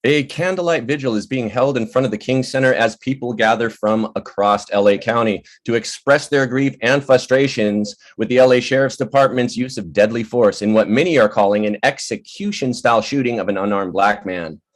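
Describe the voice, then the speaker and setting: A man playing a serious television news anchor in a table read, with formal, measured newsreader delivery. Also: mid-range voice, lightly acted. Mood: grave, formal.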